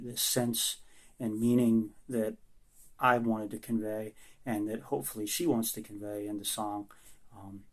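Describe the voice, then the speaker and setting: Guy w a deep-ish gravelly voice thats going hoarse, slow halting answers, pretty flat delivery. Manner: halting, thoughtful, sincere, many pauses. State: losing his voice. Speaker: songwriter answering interview questions. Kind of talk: recorded self-interview answers.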